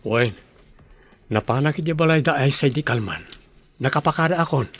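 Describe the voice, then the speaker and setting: A man's voice: mid-range voice, lively intonation, moderate pace, a bit bright. A man playing an earnest, devoted young village man in an Ilocano comedy radio drama, with an Ilocano Filipino accent. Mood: friendly banter. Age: young adult.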